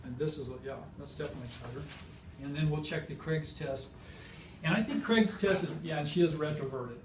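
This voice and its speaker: Guy doctor showing an exam, halting technical talk, roomy and far from the mic, noisy. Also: fairly high pitch. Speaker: physician demonstrating hip exam. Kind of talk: clinical teaching demonstration.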